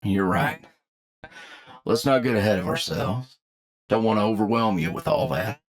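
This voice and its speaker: A man's voice: lowish voice, moderate pace, a crowd in the background, lightly acted. A man playing a friendly, easygoing bounty hunter who mentors a rookie partner in a folksy, reassuring way, in an anime audio roleplay. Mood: reassuring, casual.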